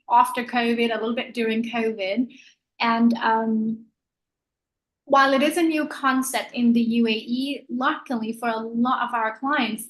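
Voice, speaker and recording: A woman with a Danish accent, speaking as a panelist in a webinar on a video call, thoughtful and pausing often with fillers. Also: on the high side, rough recording. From Denmark. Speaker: panelist, pre-loved clothing store owner.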